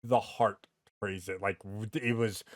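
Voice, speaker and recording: A man's voice: fairly low pitch, fairly even intonation, normal speed, no room sound. Man in casual podcast banter, dry close sound. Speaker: podcast host. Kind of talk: casual comedic podcast chat.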